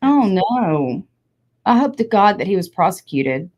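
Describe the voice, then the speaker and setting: A woman's voice: medium pitch, very animated, a little slow, darkish tone, lo-fi sound. A woman in her forties chatting casually, blunt and candid with the odd swear. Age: 40s. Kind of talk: casual chat.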